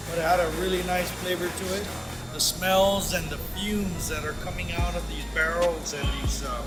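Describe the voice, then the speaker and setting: Upbeat male chef host over loud music. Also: a little high, poor audio. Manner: upbeat and easygoing. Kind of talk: casual travel vlog talk. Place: indoors at a food factory and outdoors on a tour.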